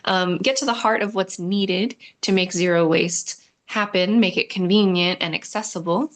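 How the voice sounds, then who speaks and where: A woman moderating an online panel over a video call, talking in a relaxed, conversational way with frequent "um"s. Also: on the low side, normal speed. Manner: relaxed, conversational, many "um" fillers. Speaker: host and moderator.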